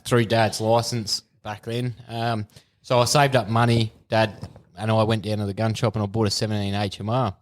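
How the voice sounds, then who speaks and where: A man's voice: fairly low pitch, flat delivery, normal speed. An Australian man co-hosting a podcast, an easygoing storyteller in casual chat. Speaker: podcast co-host.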